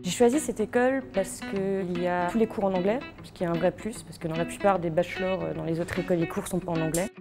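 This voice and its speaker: A woman's voice: lowish voice, quick pace, hardly any pauses, music in the background. A young woman, a student, explaining her choices steadily with some hesitation. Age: young adult. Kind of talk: testimonial.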